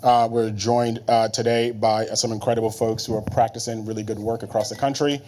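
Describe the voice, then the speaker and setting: A man from Nigeria, in his thirties, moderating a conference panel in a relaxed way with many fillers. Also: lowish voice, fairly quick. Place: conference room.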